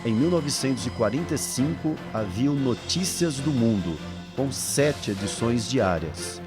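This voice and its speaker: A man's voice: medium pitch, expressive, measured pace. A man with a Brazilian accent reading scripted documentary narration. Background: instrumental music.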